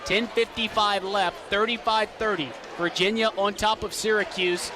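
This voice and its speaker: A man's voice: high voice, steady tone, moderate pace. A young man reporting and calling a live basketball game in clipped announcer phrasing, as on a sports broadcast. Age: young adult. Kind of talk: live sports reporting and radio play-by-play commentary. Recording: noisy arena, crowd behind the voice. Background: crowd noise, bouncing basketball.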